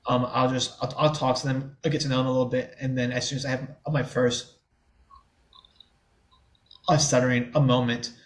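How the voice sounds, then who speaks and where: A man's voice: mid-range voice, flat delivery, normal speed, pauses often. A man in his twenties from the New Orleans area, a guest in a podcast interview who stutters openly, with blocks and repeated sounds, and talks in a candid, easygoing way.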